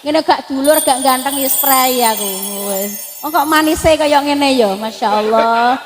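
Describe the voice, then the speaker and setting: A woman's voice: sits high, slow pace, soft background music. A woman in her forties with a Javanese accent, giving a comic sermon from a stage, joking and teasing the crowd. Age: 40s. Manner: joking, chatty, teases the crowd, breaks into song. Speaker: preacher. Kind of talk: comic sermon at a religious gathering. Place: on a stage at an event.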